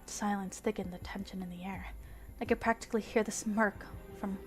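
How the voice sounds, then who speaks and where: A woman streamer reading a visual novel aloud with expressive narration and casual commentary. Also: mid-range voice, brisk. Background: music.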